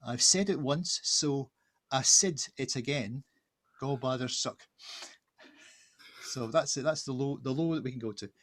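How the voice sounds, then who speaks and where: A man's voice: medium pitch, a little slow, pauses often, fairly bright tone. A man co-hosting an online event on a video call, casual and unscripted, with a hesitant, rambling delivery. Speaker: co-host, art director.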